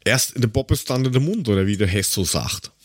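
Casting: male podcast host, husky voice, animated melody, colloquial and jokey, close dry sound. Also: mid-range voice, brisk, dry recording. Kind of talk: casual banter, tasting snacks and drinks.

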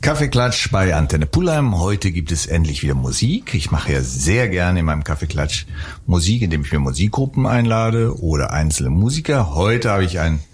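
A man's voice: low voice, big pitch swings, moderate pace, soft background music. A man hosting a radio talk show, a jokey, teasing interviewer. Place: radio studio.

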